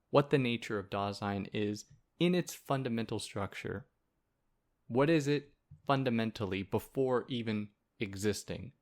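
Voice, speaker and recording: Male podcast host, soothing voice, laid-back conversational lecture delivery. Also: medium pitch. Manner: relaxed, conversational, with fillers and asides. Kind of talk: casual explanatory philosophy lecture.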